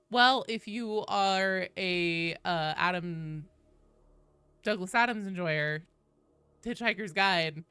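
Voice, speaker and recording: Animated, bright-voiced woman streamer. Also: medium pitch, clean tone, no echo. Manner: animated, informal, freely swearing. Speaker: live streamer hosting a game stream. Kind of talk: casual livestream chat with viewers.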